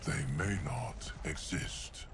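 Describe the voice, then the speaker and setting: A man voicing a calm, stoic, loyal immortal guardian in a video game, speaking in a grave, formal, measured way. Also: very deep voice, a little hiss or hum, heavily acted. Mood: calm, resolute. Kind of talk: video game cinematic acting.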